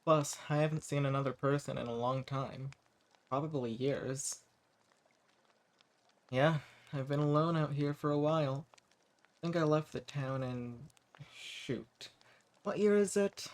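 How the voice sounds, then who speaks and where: A man's voice: fairly high pitch, measured pace, long pauses. A man playing an eccentric, sweet-talking old vampire. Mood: flirtatious, musing. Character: centuries-old hermit male vampire, eccentric, sweet-talking, quietly menacing. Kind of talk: scripted roleplay audio drama, addressing the listener.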